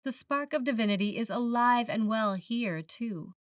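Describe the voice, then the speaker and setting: A woman preacher reading a written sermon in a measured, reflective manner, putting questions to the listener. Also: a little high, dry recording, studio quality, recorded from a distance. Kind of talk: sermon, read from a script.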